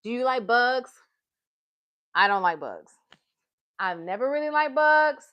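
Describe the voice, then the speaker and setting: A woman hosting a live story time for children, excitable and encouraging, often repeating phrases and addressing her listeners as friends. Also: a little high, measured pace, dull audio.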